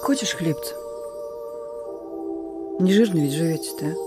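An actress playing a plucky young partisan courier in a radio drama, speaking in a familiar, teasing, warm-hearted way. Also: female voice, low-pitched, lively intonation, moderate pace, over music. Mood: gentle, concerned. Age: young adult.

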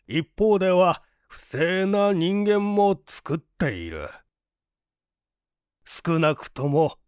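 Guy doing a stubborn old company boss from a storybook, really slow, formal old-fashioned talk, close dry sound. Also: on the high side, dry recording, exaggerated voice. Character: stubborn, childless older businessman, earnest and dignified. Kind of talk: dramatized audiobook reading.

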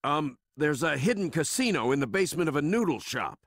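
Husky, excitable shady bartender. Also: male voice, a little high, a little fast, no room sound, clean studio sound, exaggerated voice. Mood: cowed pleading. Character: shady, bullying bartender, cowardly when beaten. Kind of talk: English game dub acting.

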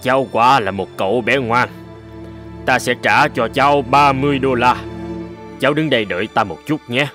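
A man voicing a kindly, portly older gentleman in a cartoon, speaking in a gentle, measured, encouraging way. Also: mid-range voice, soft background music, natural voice. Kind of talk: cartoon voice acting.

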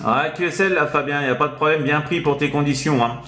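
A man's voice: fairly high pitch, quick pace, a bit bright, roomy sound. A man working as a radio operator, chatting casually and hesitantly over the air, often tagging his sentences. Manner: hesitant, tags sentences with "hein". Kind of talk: casual radio contact chat. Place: indoors in an apartment, at a radio set.

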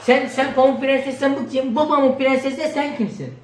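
A woman's voice: high-pitched, normal speed, lightly acted. A woman playing a goofy, bumbling male superhero in a children's comedy skit, rambling and offhand. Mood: baffled, indignant. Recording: echoey room with clear background noise.

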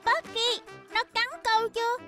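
An actor voicing a small, cheerful young fox chef in a children's cartoon dub, eager and excitable. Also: very high voice, moderate pace, over music. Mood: curious. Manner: excitable, exclamatory.

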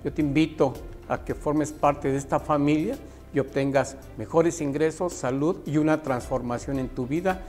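A man's voice: a little high, normal speed, a little mellow, soft background music. A middle-aged man with a Mexican accent, giving a firm, persuasive testimonial on a lapel mic. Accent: Mexican Spanish. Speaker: company testimonial speaker.

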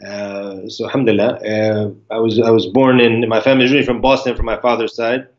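An American man in his forties speaks over a webcam from a room with a plain wall. He talks fast and restarts often, mixing Arabic religious phrases into casual English. The call audio is muffled and lo-fi. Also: lowish voice, flat delivery. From United States (Virginia). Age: 40s. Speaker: guest speaker, Islamic lecturer. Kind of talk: live online interview. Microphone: video call webcam.

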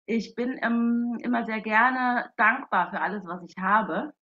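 A woman's voice: fairly high pitch, unhurried. A woman taking part in an online seminar, hesitantly putting a personal question in her own words. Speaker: seminar participant. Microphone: online call microphone. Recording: dull, lo-fi online call audio.

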